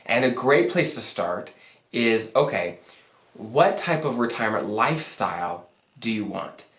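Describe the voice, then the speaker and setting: A young man talks casually to his viewers, upbeat and chatty, his voice pushed deeper than usual by a lingering cold. His pitch sits in the middle for a man. The sound carries the ring of an echoey room. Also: very animated, unhurried, a bit bright. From Arizona, US. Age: young adult. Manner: upbeat, conversational, self-joking. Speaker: financial planner hosting a channel. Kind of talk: casual advice talk to camera.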